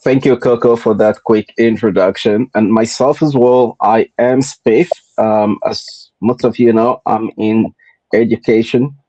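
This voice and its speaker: A man from Nigeria with a Nigerian accent, hosting a motivational podcast in a deliberate, repetitive rhetorical style. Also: fairly low pitch, steady tone, warm tone, dry recording, highs cut off. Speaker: podcast host.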